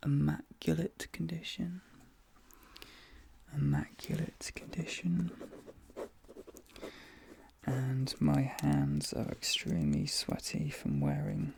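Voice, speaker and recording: Soft, crackly-voiced man doing slow ASMR. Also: fairly high pitch. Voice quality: breathy, soft. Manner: hesitant, halting, drifting into whispers. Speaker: ASMR creator role-playing a dentist. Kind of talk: soft-spoken ASMR role play.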